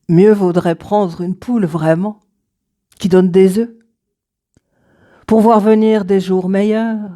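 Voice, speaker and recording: Very slow, mellow woman reciting poetry. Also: on the low side. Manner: measured, deliberate phrasing with dramatic pauses. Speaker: poet reading own work.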